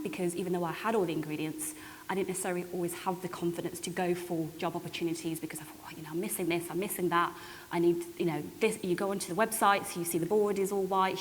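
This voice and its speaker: Woman panellist in an echoey hall. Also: on the low side. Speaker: conference panellist. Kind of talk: panel discussion remarks. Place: reverberant indoor event hall. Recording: echoey hall with clear background hiss.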